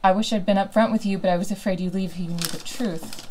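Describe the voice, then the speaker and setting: A woman's voice: mid-range voice, fairly even intonation, fast talker, darkish tone, a little hiss or hum, close to an everyday voice. A woman playing a children's show co-host in an audio drama, with casual delivery. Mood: subdued.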